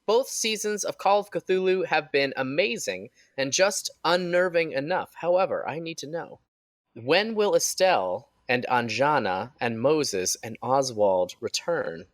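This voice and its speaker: A man's voice: on the high side, lively intonation, a little slow, no echo. A man co-hosting a podcast, casually reading out questions sent in by listeners.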